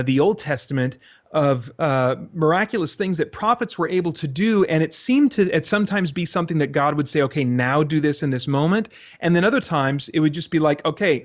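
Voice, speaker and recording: A man being interviewed, answering casually and thinking aloud in a rambling way, often voicing his own thoughts as quotes. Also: fairly high pitch. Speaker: interview guest, creator of a radio drama. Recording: dull, lo-fi interview audio.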